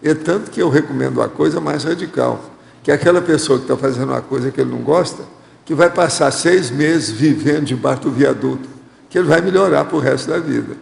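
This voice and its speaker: Gravelly Brazilian man in an echoey hall. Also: on the high side, fairly quick. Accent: Brazilian Portuguese. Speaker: host reading viewer questions. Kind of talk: studio Q&A, answering questions.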